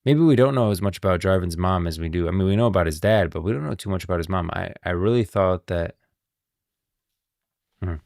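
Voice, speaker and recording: Low, gravelly male streamer. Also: fairly quick, a little mellow, no room sound, studio quality. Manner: musing, thinking aloud. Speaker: streamer commenting on game lore. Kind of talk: casual live stream commentary.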